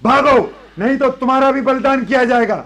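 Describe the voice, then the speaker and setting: A man's voice: very high-pitched, steady tone, brisk, very noisy. A man playing a menacing, sneering cultist zealot in a radio drama, threatening and taunting. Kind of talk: Hindi radio drama acting.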